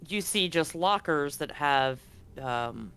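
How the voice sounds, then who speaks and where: A man's voice: a little high, lively intonation, slow, bright, forceful tone, clean tone. A man narrating as game master in a tabletop game, loose and casual with many fillers and restarts.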